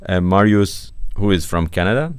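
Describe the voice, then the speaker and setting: A man's voice: deep voice, expressive, moderate pace, clean tone, dry recording. A man co-hosting a meetup livestream in casual chat, hesitant, often repeating words while he searches for phrasing. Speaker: co-host of a company meetup livestream. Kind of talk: casual chat, reading out where viewers join from.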